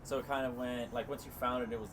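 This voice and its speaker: A man's voice: mid-range voice, fast. A man asking questions casually as an interviewer, in a conversational way. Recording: noticeable steady background noise.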